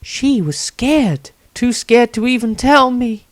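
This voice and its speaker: A gentle, earnest young priestess played by a man in a fantasy audiobook. The voice is pitched well up, anxious and carefully formal, recorded very dry and close. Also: expressive, takes it slowly, no room sound, exaggerated voice. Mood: troubled, urgent.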